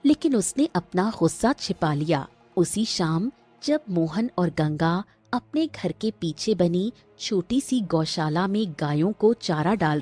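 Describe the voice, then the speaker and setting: A woman reads cartoon story narration at an unhurried pace. Her voice is mellow and a little husky, with music playing underneath. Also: fairly low pitch.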